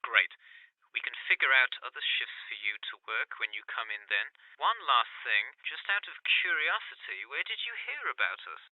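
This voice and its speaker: A man's voice: medium pitch, very animated, normal speed, no echo. A man playing a polite, businesslike employer in a scripted phone interview, heard through a telephone filter. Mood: friendly, businesslike. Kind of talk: scripted listening-test dialogue. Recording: thin, narrow telephone line.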